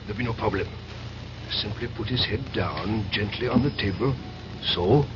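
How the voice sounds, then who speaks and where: A man's voice: on the low side, normal speed, noisy background, natural voice. Sinister waiter played by a man, dull radio sound. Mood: cold, commanding. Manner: clipped, ordering. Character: sinister, commanding French waiter in a spy thriller. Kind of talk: old-time radio mystery acting. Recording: dull old radio recording with music.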